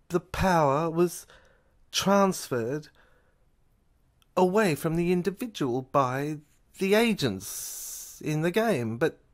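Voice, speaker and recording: A speaker in an amateur audio drama, explaining things earnestly in a halting, stammering way with repeated phrases. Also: medium pitch.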